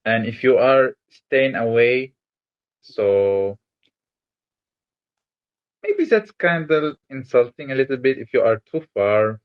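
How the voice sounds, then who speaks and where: A man's voice: mid-range voice, takes it slowly, pauses often. A man from Egypt, a native Arabic speaker with Malaysian-influenced English, answering interview questions casually. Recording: dull lo-fi sound.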